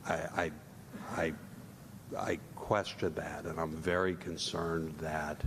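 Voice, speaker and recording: Deep-voiced man, lawyerly, in echoey hall. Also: level tone. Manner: deliberate, lawyerly, measured phrasing. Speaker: commissioner questioning speakers. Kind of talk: formal questioning at public hearing. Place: large indoor public hearing room. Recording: echoey hall, rumbling room noise.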